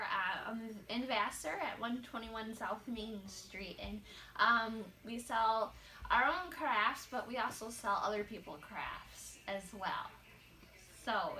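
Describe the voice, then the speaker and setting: Slow, bright woman in an echoey shop. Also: on the high side. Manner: slow, hesitant listing with fillers. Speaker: shop owner and co-host. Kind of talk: casual chat. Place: craft shop room indoors. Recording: roomy and distant from the mic.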